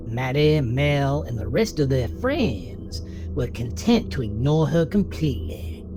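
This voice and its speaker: Male narrator for horror audio drama, unhurried, animated pitch, dramatic storytelling over music. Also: poor audio.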